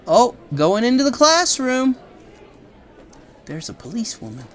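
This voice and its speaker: A woman's voice: on the high side, very animated, a little slow, some chatter behind. A woman reading a children's picture book aloud, chattily explaining the pictures with casual asides. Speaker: read-aloud storyteller.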